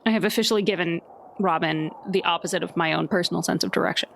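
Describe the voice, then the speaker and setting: A woman's voice: on the low side, fast talker, gravelly, a bit bright, a little hiss or hum. Wry woman chatting at a game table. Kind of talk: casual game-table chat.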